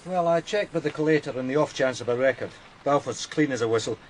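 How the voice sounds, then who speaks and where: An actor playing a dependable, matter-of-fact junior-ranking detective reporting deferentially in a radio crime drama. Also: male voice, medium pitch, quick pace, a little hiss or hum, lightly acted. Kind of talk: naturalistic radio drama acting.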